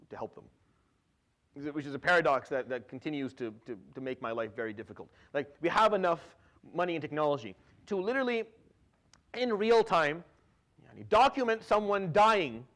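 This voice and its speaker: Fast-talking Syrian-born man teaching scripture. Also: fairly high pitch, expressive, fairly bright tone. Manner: conversational, frequent restarts and repeated words, drops Arabic fillers; recites Quranic Arabic quickly. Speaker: imam giving Quran commentary. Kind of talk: informal religious lecture.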